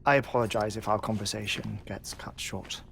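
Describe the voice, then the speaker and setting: An actor playing a gentle, obsessive male scientist with a weak heart, who talks in a soft, thoughtful, deliberate way. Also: fairly low pitch, fairly even intonation, creaky, slight background noise. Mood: musing. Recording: game cutscene with music behind.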